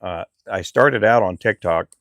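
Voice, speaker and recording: A middle-aged man who makes fishing lures, chatting casually on his live stream in a relaxed, rambling way with lots of fillers. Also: fairly low pitch, unhurried, dry recording, clean studio sound. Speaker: lure maker live streamer.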